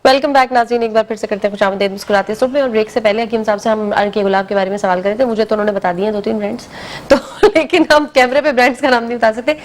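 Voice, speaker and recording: Female morning show host, high and fast, lively chatty questioning. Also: slight background noise. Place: TV studio.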